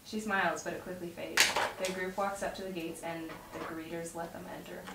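A distant, roomy recording with clear noise carries a young woman's voice. She sits fairly low in pitch and reads script directions aloud as the narrator of a table read. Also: level tone, fairly quick. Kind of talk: reading stage directions aloud. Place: home dining room around a table. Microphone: far camera mic. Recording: distant mic, roomy echo, noisy.

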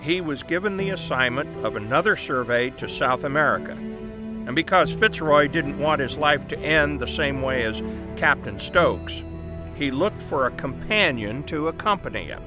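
An actor playing a brisk, persuasive newspaper boss in an audio drama, confident and explanatory. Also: male voice, a little high, expressive, over music, close to an everyday voice. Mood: brisk enthusiasm. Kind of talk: family audio drama acting.

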